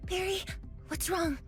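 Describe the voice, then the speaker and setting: A timid young girl from a video game, extremely high in pitch. She talks slowly and haltingly, with a sweet, meek manner. Music is clearly heard behind her. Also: very animated, heavily acted. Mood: nervous, hesitant. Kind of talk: scripted dramatic game acting.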